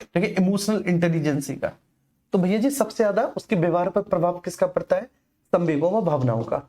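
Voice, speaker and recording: A man's voice: sits high, fairly quick, warm tone. A man teaching an exam coaching class informally, explaining repetitively and addressing his students directly.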